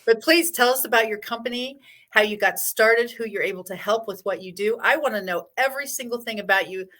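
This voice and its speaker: A woman's voice: on the high side, a little fast, a bit bright. A woman hosting an interview show, effusive and gushing with praise for her guest. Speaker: podcast host.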